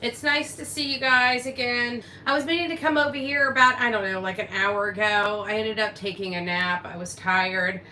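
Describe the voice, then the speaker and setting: A woman's voice: a little high, fairly even intonation, moderate pace, few pauses, sharp tone. A woman ranting casually in a blunt, defensive, profane manner on noisy livestream audio.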